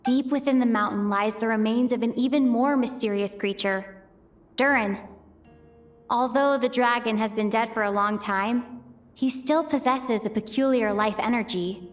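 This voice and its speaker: A woman's voice: fairly high pitch, moderate pace, natural voice. A woman voicing an earnest, thoughtful young traveler in a fantasy video game, with a careful, reflective delivery. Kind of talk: dramatic video game voice acting. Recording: music behind the voice.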